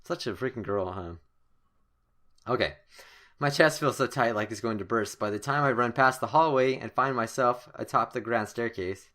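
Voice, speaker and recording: A young man hosting a horror game playthrough, reading the story text aloud in an excitable, dramatic way and stumbling now and then. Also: medium pitch, moderate pace. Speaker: gaming video host.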